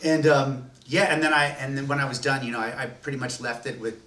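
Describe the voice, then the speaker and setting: Guy actor rambling about himself, bright voice, pretty far from the mic, echoey room. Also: medium pitch, steady tone, fast talker. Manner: rambling, self-deprecating, many fillers. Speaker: voice actor in the radio play. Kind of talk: self-deprecating behind-the-scenes chat. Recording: roomy echo, distant mic, faint noise.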